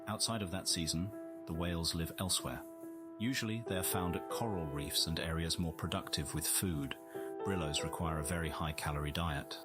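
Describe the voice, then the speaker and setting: A man playing a solemn scientific observer, narrating a visual novel in a measured, formal nature documentary style. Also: low voice, loud music behind the voice, close to an everyday voice. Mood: informative. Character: solemn male scientific observer narrating alien whale life.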